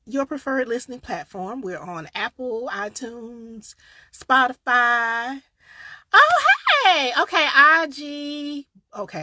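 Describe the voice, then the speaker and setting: Southern woman grief coach live-streaming, bright and dry, loud bursts then soft asides, a bit tired. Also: mid-range voice, dry recording. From Southern United States. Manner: chatty and expressive, swinging from loud bursts to quiet asides. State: a little tired. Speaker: grief coach and podcast host. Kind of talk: casual live-stream chat answering viewers.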